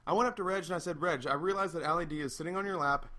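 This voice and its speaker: A man's voice: on the high side, racing, bright, forceful tone. A young man, drunk, rambling through a profane, self-mocking story among friends around an outdoor fire. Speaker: storyteller host. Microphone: laptop mic.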